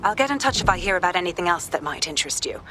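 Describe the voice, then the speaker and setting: Serious brit lady giving orders in a game, sharp voice, brisk, bit of noise behind. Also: fairly low pitch, sing-song, low-quality recording. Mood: stern, urgent. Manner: brisk, businesslike. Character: stern, determined female ally directing hackers. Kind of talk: scripted video game acting.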